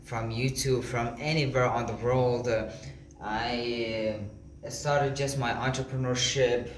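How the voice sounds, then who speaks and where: Man, English as second language, informal motivational talk, halting repetitive phrasing, echoey room, faint background noise. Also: medium pitch, steady tone. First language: not English (second language). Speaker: motivational content creator.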